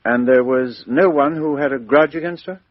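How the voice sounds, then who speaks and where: Guy doing a british inspector questioning someone, businesslike, bit hesitant, husky, muffled radio drama audio. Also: medium pitch, normal speed, no echo, lightly acted. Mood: methodical. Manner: methodical questioning with hesitant lead-ins. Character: businesslike, methodical male British police inspector. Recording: dull, lo-fi radio drama recording.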